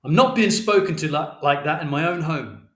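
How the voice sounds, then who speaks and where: A man's voice: on the high side, animated, moderate pace, fairly bright tone, echoey room, voice pushed up. A man voicing an indignant man of the house in an audiobook, blustering and protesting as he intervenes.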